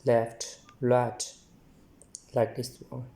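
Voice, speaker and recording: A man presents a product hands-on, reading items out in short, halting phrases. His voice is mellow and dark at a middling pitch. He takes his time, with long unhurried pacing. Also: monotone. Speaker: product demonstrator.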